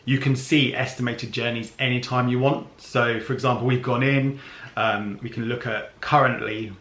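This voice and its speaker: A man's voice: mid-range voice, steady tone, normal speed, fairly bright tone. A man vlogging, casually explaining travel plans with many ums. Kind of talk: casual planning chat. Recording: echoey room.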